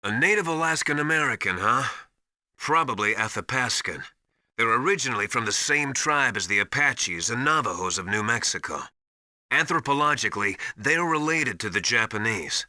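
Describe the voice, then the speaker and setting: Video game military advisor: male, authoritative teacher type, piercingly bright, dry close sound, urgent and emphatic. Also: mid-range voice, expressive, normal speed, no echo. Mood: instructive. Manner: didactic, urgent, emphatic instruction. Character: knowledgeable, commanding male military survival mentor called Master. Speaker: radio support advisor.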